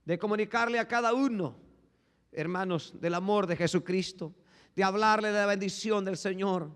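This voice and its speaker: High-voiced man preaching in an echoing hall. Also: moderate pace, smooth. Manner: impassioned preaching, swinging from hushed to shouting; repeats "hermanos" as a constant refrain. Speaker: pastor. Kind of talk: Spanish-language sermon. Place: church sanctuary. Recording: long echo of a large hall.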